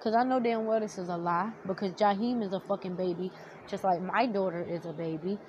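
A woman's voice: mid-range voice, fairly even intonation, fairly quick, muffled recording. Young woman venting with a nasal voice. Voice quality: nasal, like about to cry. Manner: heated, blunt, heavy swearing. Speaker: vlogger. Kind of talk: storytime rant to camera. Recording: noticeable background noise.

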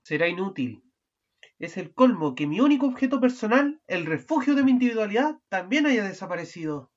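A woman acting an exasperated, fussy husband in an amateur radio play, bickering and at times shouting. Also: medium pitch, sing-song, brisk. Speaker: student radio drama actor. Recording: muffled lo-fi recording.

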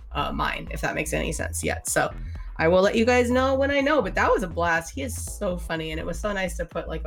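Woman on a gaming podcast, a bit scratchy from being sick, low-ish voice, rambles with lots of likes. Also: creaky. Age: adult mother. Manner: chatty, fills with "like" and "um", swears freely. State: getting over a sinus infection, slight cough. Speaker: podcast co-host. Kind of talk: casual gaming podcast chat.

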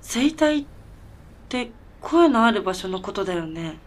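A woman playing a bewildered but determined snowmobile mechanic in a radio drama, talking casually and haltingly with disbelief. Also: mid-range voice, big pitch swings. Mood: incredulous.

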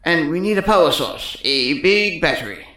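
A woman's voice: sits low, animated, moderate pace, a bit bright, roomy sound. A woman playing a cynical, vain and condescending electrical technician in a farcical audio comedy. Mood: huffy, condescending. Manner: sarcastic, condescending.

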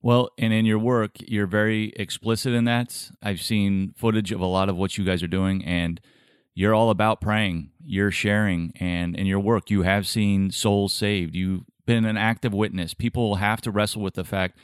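A man hosting an interview, asking questions in a measured, admiring way. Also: low-pitched, clean tone, no room sound, clean studio sound. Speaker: interview host.

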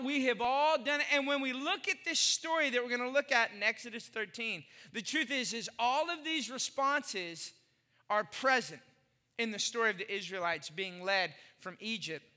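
A man's voice: very high-pitched, normal speed, sharp tone, in a large hall. A man in his forties preaching a sermon, emphatic, repeating phrases and putting questions to the congregation. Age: 40s. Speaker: pastor.

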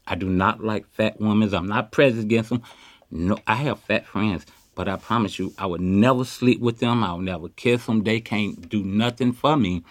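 A man's voice: fairly low pitch, no echo. A man in his fifties from Chicago, talking boastfully in street slang while interviewed on a city street. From Chicago, USA. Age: 50s. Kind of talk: candid street conversation. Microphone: handheld mic.

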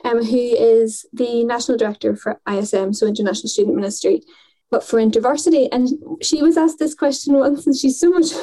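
A woman's voice: a little high, dark tone, poor audio. A woman mission worker in her thirties, speaking earnestly and thoughtfully in a conversational podcast.

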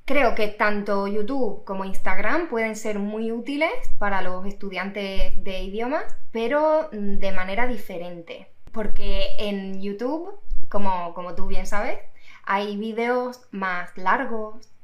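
Slow, hesitant woman in an echoey room. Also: medium pitch. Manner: thoughtful, hesitant with many fillers and restarts. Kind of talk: casual podcast conversation.

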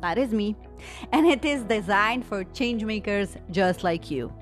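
A woman's voice: medium pitch, animated, measured pace, music in the background. A woman hosts a podcast on coaching and business. She talks effusively and leans on her words for emphasis. Her delivery suits both introducing a show and interviewing a guest.

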